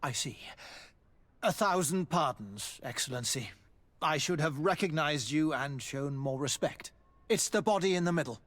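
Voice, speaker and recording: An actor playing an evasive, formal army doctor in a video game, hesitant and deferential. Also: male voice, fairly high pitch, expressive, normal speed, fairly bright tone. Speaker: military doctor. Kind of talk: dramatic video game acting.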